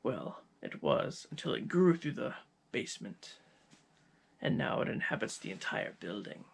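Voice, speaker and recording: A man playing a cold, gloating scientist villain in an ASMR roleplay, speaking with deliberate, menacing pauses in a gravelly, husky voice. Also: mid-range voice, big pitch swings, exaggerated voice. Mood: proud, coldly menacing.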